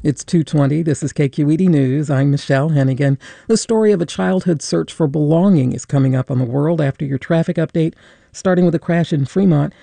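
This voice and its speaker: A woman anchoring a radio newscast, reading scripted news in a crisp, even way. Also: low voice, fairly quick, no room sound.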